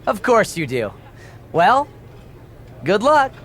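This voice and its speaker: An eager young associate producer in a video game, chummy and a touch condescending. His voice runs high and swings widely in pitch. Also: wildly swooping pitch, slow pace, fairly bright tone, full character voice.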